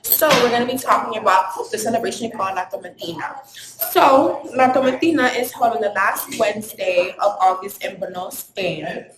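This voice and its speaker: A woman's voice: mid-range voice, lively intonation, moderate pace. A woman giving a casual school presentation and explaining things with fillers along the way. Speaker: student presenter. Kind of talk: classroom presentation. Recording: echoey room with noise.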